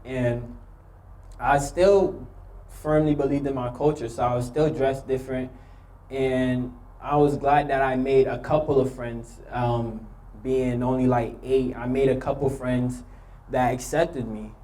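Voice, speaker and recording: A young man from Haiti nervously telling his own story to an audience, with many pauses and ums. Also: medium pitch, fairly even intonation, warm tone. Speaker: youth speaker sharing his story. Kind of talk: personal testimony speech.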